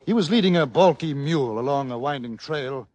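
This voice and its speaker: An actor playing an urbane, formal British newspaper correspondent narrating an old-time radio western. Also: male voice, mid-range voice, animated, fairly quick, darkish tone, natural voice. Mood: wry, reflective. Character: refined British newspaper correspondent in the Wild West. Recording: noisy old radio recording.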